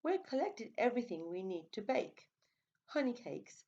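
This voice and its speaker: Woman as a bossy, cheerful, raised-pitch storybook sheep. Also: sing-song, moderate pace, warm tone, dull audio. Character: bossy, cheerful female sheep leading a baking party. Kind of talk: children's picture-book read-aloud.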